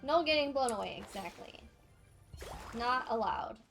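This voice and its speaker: A woman's voice: on the high side, expressive, unhurried, gravelly, fairly bright tone, faint music behind. A woman game streamer chatting casually on a live stream. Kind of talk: casual live-stream chat, reading dialogue aloud at times.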